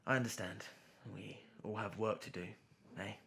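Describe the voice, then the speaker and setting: A man's voice: on the low side, steady tone, normal speed, gravelly, bright, forceful tone, slightly rough, heavily acted. A man playing an obsessive, lovelorn artist in an audio drama, speaking hesitantly and with awkward politeness. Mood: awkward, polite. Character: nervous, obsessive lovelorn male artist narrating his longing. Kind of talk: dramatic monologue and phone dialogue.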